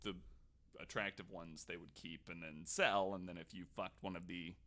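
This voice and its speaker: Male podcast host, lower register, crisp bright edge, loose comedic storytelling with wry asides. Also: fairly even intonation, moderate pace. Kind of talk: casual comedic storytelling from notes.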